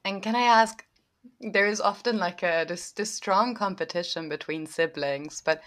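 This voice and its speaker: A woman's voice: deep voice, a bit bright. A woman hosting an interview, introducing her guest and asking questions in a conversational way. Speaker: interview host.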